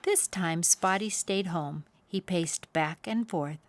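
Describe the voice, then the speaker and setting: A woman reading a children's storybook aloud as expressive scripted narration. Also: on the low side, slow. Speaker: children's story reader, channel host.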